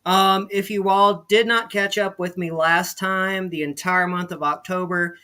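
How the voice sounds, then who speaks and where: A man's voice: sits high, steady tone, a little slow, pushed and bright, clean tone. A man hosting a casual online interview, upbeat and chatty with plenty of fillers. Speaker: interview host.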